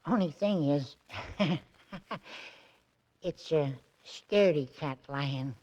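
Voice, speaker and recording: An actor in a 1940s radio drama playing a timid, boastful, hesitant and naive detective. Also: male voice, fairly high pitch, slow, lo-fi sound. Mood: sheepish. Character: timid, boastful male detective, sweet and hapless.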